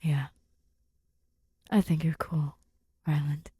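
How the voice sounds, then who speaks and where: Girl doing broody young space vet guy, twenties, few words, unhurried, dry audio. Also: sits low, little pitch movement, warm tone, breathy edge, dry recording, voice pushed down, full character voice. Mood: friendly. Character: brooding young man of twenty-seven, terse space veteran.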